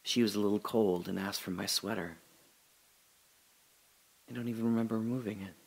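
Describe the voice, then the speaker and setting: A man performing a reflective audio drama monologue, speaking slowly and often dropping to a whisper. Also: lowish voice, steady tone, poor audio. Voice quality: slow, gravelly and husky, often dropping to a whisper. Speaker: radio drama narrator and lead.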